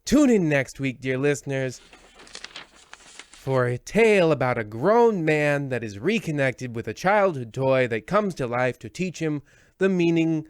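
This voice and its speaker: A man's voice: fairly high pitch, big pitch swings, measured pace, clear, resonant tone, no room sound. A man playing a half-hearted horror anthology radio host, his formal patter slipping into offhand grumbling. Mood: mock solemn. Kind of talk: old-time radio horror host spoof.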